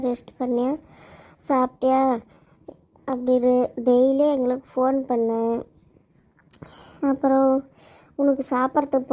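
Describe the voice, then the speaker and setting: A woman's voice: high-pitched, mellow. Woman playing a fussing anxious mother. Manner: tender, fussing, repeats advice. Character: anxious loving mother seeing off soldier son. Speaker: actor in a family skit. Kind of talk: amateur family drama acting.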